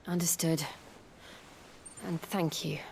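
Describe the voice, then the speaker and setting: An actress playing a steadfast, quietly strong female companion to a hero in a video game, measured and earnest. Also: deep voice, little pitch movement, gravelly, a little hiss or hum, full character voice. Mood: subdued, stoic. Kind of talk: dramatic video game cutscene acting.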